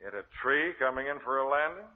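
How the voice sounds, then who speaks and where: A man's voice: medium pitch, expressive, fast talker, lightly acted. Cocky pilot played by a man, tinny radio. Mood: guarded. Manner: brash, flip. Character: cocky, defensive male flyer under suspicion. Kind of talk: 1940s radio acting. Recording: hissy narrow-band old radio recording.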